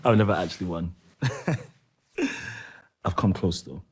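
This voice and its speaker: An actor playing a joking, self-deprecating veteran racing driver, chatting haltingly to an interviewer in a game story. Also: male voice, sits low, slightly rough, exaggerated voice. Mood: playful, self-deprecating. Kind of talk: informal documentary interview acting.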